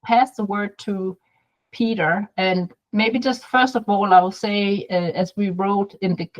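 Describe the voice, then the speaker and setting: A woman hosting a video call, speaking informally with hesitant, halting phrasing. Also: medium pitch, poor audio. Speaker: host introducing the speaker. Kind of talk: informal welcome to an online meeting. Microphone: video call microphone.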